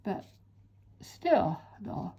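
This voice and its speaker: A woman from western Japan with a Japanese accent, chatting in an unhurried, soft-spoken way with frequent pauses while she draws. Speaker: art instructor. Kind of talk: relaxed chat while drawing.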